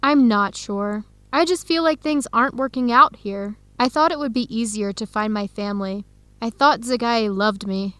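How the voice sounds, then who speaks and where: Actress as earnest heartbroken young american woman, radio drama, highish voice, dry clean sound. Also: moderate pace, a bit bright, dry recording, close to an everyday voice. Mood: disappointed, reflective. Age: young adult. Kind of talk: scripted radio drama dialogue.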